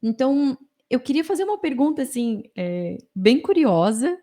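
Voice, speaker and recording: A woman's voice: sits high, expressive, moderate pace, dark tone. A woman from Brazil with a Brazilian Portuguese accent, hosting a podcast in a chatty way, with many fillers and affirming murmurs. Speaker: podcast host. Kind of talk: conversational podcast interviewing.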